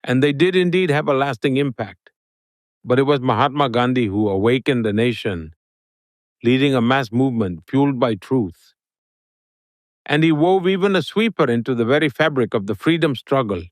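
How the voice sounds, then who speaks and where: Guy answering interview questions, formal and steady, dry close mic. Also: medium pitch, normal speed, dry recording. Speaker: interview guest.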